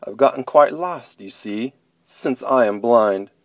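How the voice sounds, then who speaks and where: A man voicing a timid little blind girl bunny, talking in a soft, pleading, wavering way. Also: mid-range voice, animated, measured pace, no echo, close to an everyday voice. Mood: apologetic.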